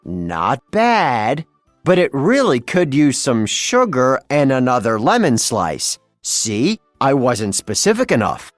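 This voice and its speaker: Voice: mid-range voice, wildly swooping pitch, slow pace, no echo, faint music behind, voice pushed down. A storybook reader voicing a bumbling, theatrical male cartoon mad scientist who scheme-talks in a grandiose, rambling, exasperated way. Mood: musing.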